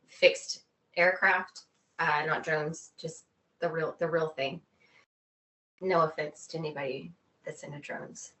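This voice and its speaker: A woman's voice: lowish voice, a bit bright, poor audio. A woman chatting casually and informally as a guest in an interview. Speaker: guest, expedition group co-founder.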